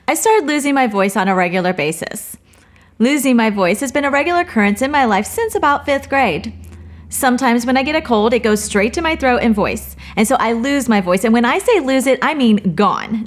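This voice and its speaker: Earnest woman Bible teacher, higher voice. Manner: earnest and personal, with light humor.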